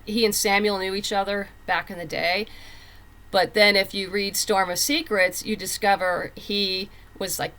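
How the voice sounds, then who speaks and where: A woman's voice: medium pitch, bright, forceful tone. A woman talking conversationally as a writer being interviewed, telling stories and acting out quotes as she goes. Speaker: comic book writer and guest.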